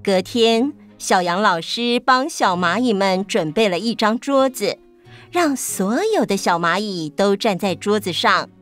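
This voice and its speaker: A woman's voice: mid-range voice, measured pace, a bit bright, faint music behind. A woman narrating a scripted story for children.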